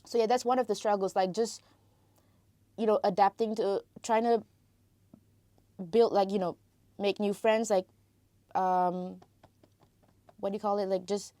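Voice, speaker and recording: A woman's voice: medium pitch, little pitch movement, unhurried, pauses often, warm tone, no room sound. Young malaysian woman, native tamil speaker, american-ish english, loose chatty podcast guest, dry close sound. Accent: American-influenced Malaysian English. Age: young adult. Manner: chatty, lots of "like" and "you know", frequent restarts. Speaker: podcast guest, international student. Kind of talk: casual interview conversation.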